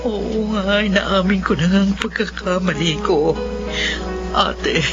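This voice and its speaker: A woman's voice: mid-range voice, slow pace, lightly acted. An actress playing a betrayed middle-aged mother in a melodramatic, tearful radio drama. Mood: ashamed, remorseful. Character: betrayed middle-aged mother, emotional, enraged then remorseful. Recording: muffled radio drama with loud music.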